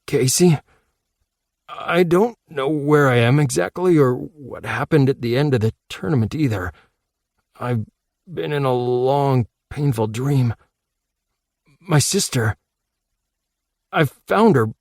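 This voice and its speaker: Soft earnest teen boy, a bit husky, guy doing the voice. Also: medium pitch, no echo, studio quality, voice pushed up, heavily acted. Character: earnest, gentle teenage boy waking in hospital.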